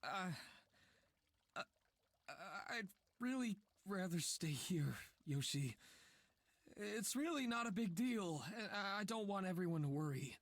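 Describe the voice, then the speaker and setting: A man's voice: high-pitched, expressive, a little slow, no echo, exaggerated voice. A man voicing an anxious, self-effacing young man in a visual novel game, hesitant and apologetic, often stammering. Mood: nervous, evasive.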